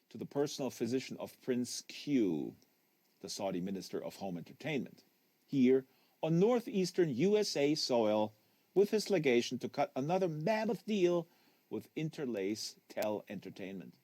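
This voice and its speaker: A man reading a literary novel aloud as a narrator, leaning on phrases with deliberate emphasis. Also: mid-range voice, a little mellow, no room sound. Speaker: audiobook reader. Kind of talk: live literary audiobook reading.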